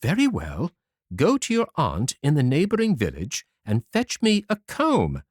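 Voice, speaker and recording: Man playing storybook mother, amused, doubting, emphatic, raised pitch, very lively intonation. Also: a little slow, no room sound, studio quality, full character voice. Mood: relenting. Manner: exclaiming, emphatic. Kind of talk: storybook character voice.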